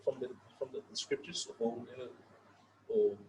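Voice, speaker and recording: A man from Fiji with a Fijian accent, a native Fijian speaker, giving an informal lecture. He pauses often, repeats words and checks in with his listeners. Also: medium pitch, mellow, slight background noise, low-quality recording. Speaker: session leader.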